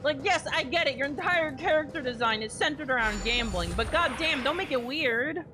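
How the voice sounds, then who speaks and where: A woman's voice: sits high, lively intonation, normal speed. A woman game streamer reacting live in a casual, sarcastic, exclamatory and sweary way. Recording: game music behind voice.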